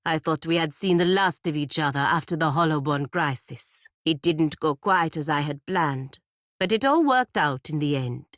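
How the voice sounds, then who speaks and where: Stern, dutiful female knight for a fantasy game: deep register, measured formal delivery, dry studio sound. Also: expressive, dry recording. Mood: wry, guarded. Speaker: video game character voice actor. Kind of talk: scripted video game dialogue.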